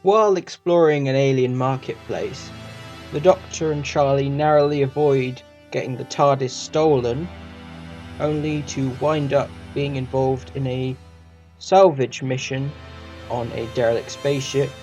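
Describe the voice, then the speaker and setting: A man's voice: medium pitch, fairly even intonation, unhurried, darkish tone, faint music behind. A man hosting a review channel, reading a scripted review.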